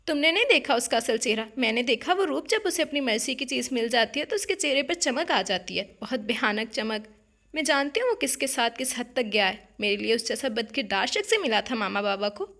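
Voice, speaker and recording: A woman's voice: a little high, expressive, creaky, a bit bright, full character voice. A woman voicing a distraught young bride, defiant and bitter, speaking with raised emotion in an audiobook. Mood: furious.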